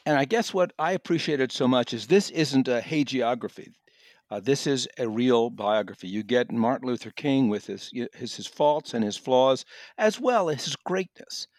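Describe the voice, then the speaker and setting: A man's voice: medium pitch, animated, moderate pace, a little mellow, no echo. American man reviewing books, dry close sound. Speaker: audiobook reviewer and podcast guest. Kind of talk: conversational review commentary.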